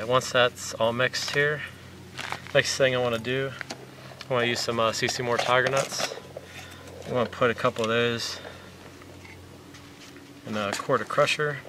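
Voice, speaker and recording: A man in his thirties talks through a recipe in a relaxed, plain way, with a few fillers along the way. He sits outdoors by a lake, a few meters from the camera, so his voice sounds distant. Steady outdoor noise runs under his words. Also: mid-range voice. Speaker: fishing vlogger. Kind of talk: casual how-to demonstration. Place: outdoors on a lakeshore. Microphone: camera mic from a few meters away.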